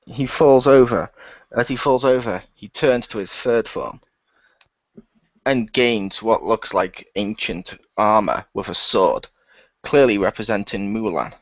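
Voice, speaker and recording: Guy narrating a homemade radio play, lowish voice, dry muffled mic. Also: measured pace, no room sound. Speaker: performer-narrator.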